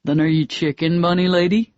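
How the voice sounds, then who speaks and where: A cocky young daredevil who teases in a low, lazy drawl, as a man acts him out. His voice sits a touch high for a man. The sound is dull and dry, as on an older lo-fi recording. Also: little pitch movement, a little fast, dry recording, lightly acted. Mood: taunting. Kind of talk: audiobook dialogue.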